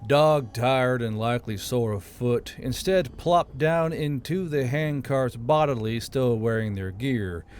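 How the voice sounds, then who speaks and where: A man's voice: medium pitch, a little mellow. A man narrating a fantasy story as an audiobook reader and channel host. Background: background music.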